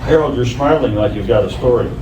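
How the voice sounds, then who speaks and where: A man's voice: mid-range voice, quick pace, highs cut off, lightly acted. A man in an amateur stage reading, playing a good-humored older storyteller recalling his youth. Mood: warm teasing. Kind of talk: amateur readers theater. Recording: echoey room, distant mic, clear noise.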